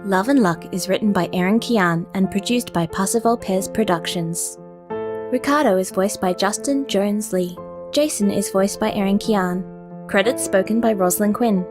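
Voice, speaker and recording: Woman doing the end credits for a podcast, scripted read, music playing behind her. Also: mid-range voice. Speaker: credits announcer.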